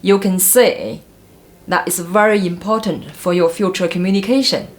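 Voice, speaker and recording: A woman's voice: fairly low pitch, fairly even intonation, moderate pace. A woman in her forties earnestly giving advice in an interview at home. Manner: earnest, advising. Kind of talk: documentary interview. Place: indoors in a home.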